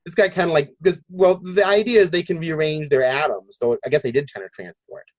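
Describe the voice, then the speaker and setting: A man hosting a casual podcast discussion, halting as he thinks aloud. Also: fairly high pitch, dry recording. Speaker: podcast host.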